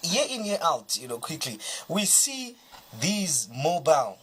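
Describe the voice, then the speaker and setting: A Zambian host of a TV business show, asking emphatic, animated questions. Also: mid-range voice, unhurried, slight background noise. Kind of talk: TV business talk show hosting.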